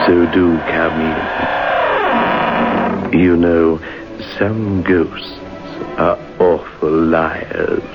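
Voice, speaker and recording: A sardonic horror storyteller with a deep, gravelly voice. He draws out his macabre narration like classic radio theatre. The old recording is muffled. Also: full character voice. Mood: sardonic, eerie. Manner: slow, drawn-out, macabre delivery. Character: sinister, sardonic male horror host storyteller.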